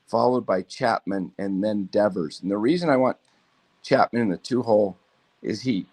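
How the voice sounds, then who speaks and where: A hoarse man in a casual sports talk chat, speaking haltingly with many fillers. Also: on the low side, darkish tone. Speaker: show panelist.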